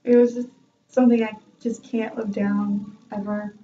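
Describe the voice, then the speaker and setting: A woman's voice: a little high, flat delivery, moderate pace, dark tone, far from the mic. A young woman telling a self-mocking story from her music class in a chatty vlog, laughing often. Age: young adult. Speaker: vlogger and music student. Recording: dull lo-fi sound from off-mic.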